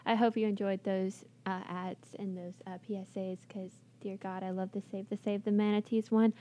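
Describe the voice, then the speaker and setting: A woman's voice: mid-range voice, flat delivery, normal speed, dark tone, lo-fi sound, a little hiss or hum. A young woman hosting a college radio interview, chatty and bubbly, with lots of filler words. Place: radio studio.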